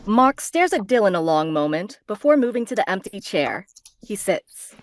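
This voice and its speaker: Animated woman narrator, dry close sound. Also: mid-range voice, moderate pace, no room sound. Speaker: narrator reading stage directions. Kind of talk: scripted narration at a table read.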